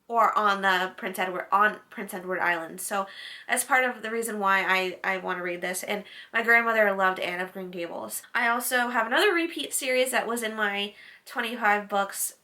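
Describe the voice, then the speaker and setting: Bright-voiced woman in her late twenties. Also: medium pitch. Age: late 20s. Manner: chatty, lots of "like" fillers. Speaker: book vlogger. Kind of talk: casual chat to camera.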